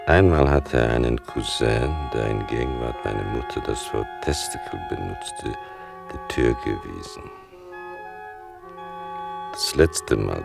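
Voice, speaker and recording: An actor playing a grown son who narrates his mother's past in a radio play, slowly and intimately, with a gravelly voice. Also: exaggerated voice. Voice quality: very deep, gravelly. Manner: slow, deliberate, intimate. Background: music.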